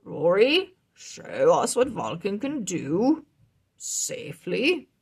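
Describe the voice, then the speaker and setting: A woman voicing an assured, kindly male wizard in a children's storybook, deliberate and emphatic. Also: medium pitch, big pitch swings, darkish tone, breathy edge, exaggerated voice. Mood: encouraging. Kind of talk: children's storybook read-aloud.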